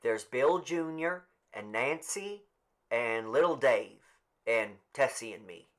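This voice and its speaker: A man's voice: mid-range voice, expressive, slow, a bit bright. A resigned family man from a village, played by a male narrator. He speaks plainly and firmly, with regret, in a storybook reading. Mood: regretful, resigned.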